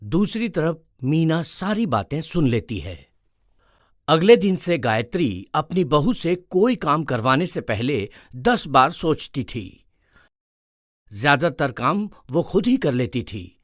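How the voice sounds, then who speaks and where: A man's voice: fairly high pitch, big pitch swings, slow, no room sound, clean studio sound. A man narrating a scripted moral story as its storyteller.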